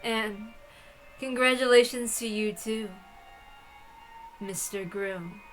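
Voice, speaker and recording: A woman voicing a cruel witch of miracles for a story reading, mock-tender and sneering in a deliberate way. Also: fairly high pitch, fairly even intonation, soft background music, voice pushed down, poor audio. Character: cruel mocking female witch of miracles, smugly sweet.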